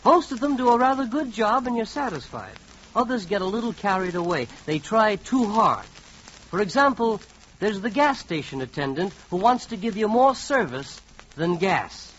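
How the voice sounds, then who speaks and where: Wry guy narrating old 40s radio comedy, high lively voice, muffled with some hiss. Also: normal speed. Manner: wry, conversational. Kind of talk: 1940s radio comedy narration in second person. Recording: muffled, faintly noisy 1940s radio recording.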